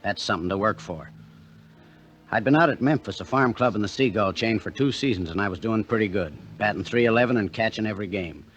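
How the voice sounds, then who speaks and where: A man's voice: fairly low pitch, fast talker, dull audio, lightly acted. An actor playing a weary young minor-league catcher in a radio drama, telling his story in plain, slangy talk. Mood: brooding, wistful. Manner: plain, slangy, ungrammatical working-class talk. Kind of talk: 1950s radio drama narration and acting.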